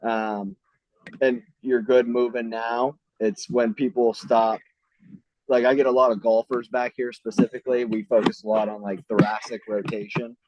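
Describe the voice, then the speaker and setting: A man's voice: medium pitch, level tone, normal speed, darkish tone, slight background noise. A man working as a mobility trainer, giving loose, conversational answers full of "I mean" and "right?". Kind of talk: casual explanatory interview answers. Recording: dull lo-fi sound.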